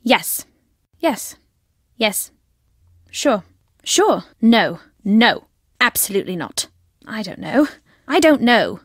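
A woman's voice: fairly high pitch, very animated, slow, long pauses, a bit bright, dry recording, clean studio sound, heavily acted. A woman voicing a curious young female detective in a video game, delivering short reactive lines. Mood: plain.

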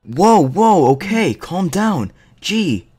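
Guy playing exasperated young survivor dude, pitch jumps around a lot, minecraft roleplay. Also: sing-song, takes it slowly, a little mellow, soft background music, heavily acted. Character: Murphy, laid-back, exasperated young male spaceship survivor. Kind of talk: amateur game roleplay voice acting.

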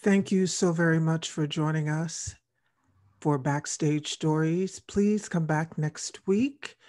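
An older woman hosting an interview show on a video call, with measured delivery. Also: deep voice, darkish tone, no echo, studio quality. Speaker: radio show host. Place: indoor room on a video call.

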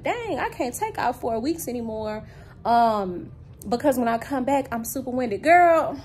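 A woman in her thirties chatting casually to her viewers in a vlog, filmed on a phone at arm's length in a parked car, with animated, folksy asides. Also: fairly high pitch, a little hiss or hum. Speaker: vlogger. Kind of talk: casual vlog chat to camera. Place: parked car, then home room. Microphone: phone camera at arm's length.

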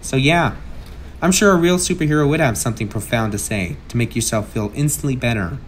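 A man's voice: mid-range voice, brisk, slight background noise, lightly acted. A man playing a brash, sarcastic masked antihero with a kind streak, in a theatrical comic book dub. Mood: glib, joking.

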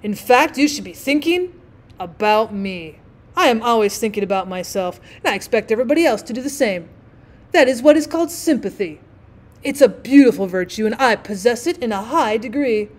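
Woman voicing a pompous, loud, high-pitched vain rocket. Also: animated, fairly quick, close to an everyday voice. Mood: self-righteous. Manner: haughty, indignant, self-important declaiming. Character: pompous, vain, self-obsessed male firework rocket.

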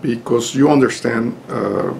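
An older man in his sixties with a Mexican Spanish accent, giving an informal lecture to camera from his home study, halting and full of fillers and restarts. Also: medium pitch, creaky, darkish tone, slight background noise. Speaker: lawyer and lecturer. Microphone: webcam at desk.

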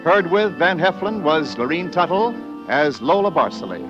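A man's voice: a little high, lively intonation, moderate pace. An American man announcing a scripted radio sign-off in formal, polished, emphatic 1940s style. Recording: dull 1940s radio recording, loud music.

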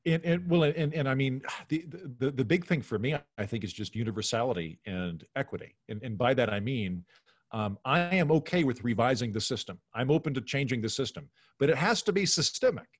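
A man's voice: mid-range voice, expressive, fairly quick, breathy edge, no room sound, clean studio sound. A middle-aged American man chatting casually and giving his opinions as a podcast guest on a video call. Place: home room on a video call.

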